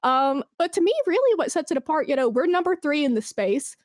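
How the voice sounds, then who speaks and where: A woman's voice: sits high, animated, a little fast, dry recording, studio quality. A woman chatting casually as an interview guest, enthusiastic and informal, with some swearing. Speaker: podcast guest, esports brand manager.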